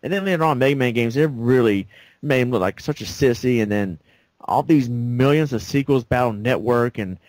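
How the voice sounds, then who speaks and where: A man's voice: mid-range voice, normal speed, a little mellow, dry recording. A man co-hosting a casual game review chat, rambling with lots of fillers and laughing often. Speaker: co-host of a game review show. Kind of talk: casual gaming discussion.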